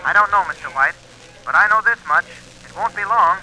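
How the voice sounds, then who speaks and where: An actor playing an eager, mild-mannered young reporter, earnest and insistent, in an old radio serial. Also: male voice, sits high, lively intonation, fairly quick, pushed and bright, full character voice. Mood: urgent, eager. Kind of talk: 1940s radio serial acting. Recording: thin, noisy old radio recording.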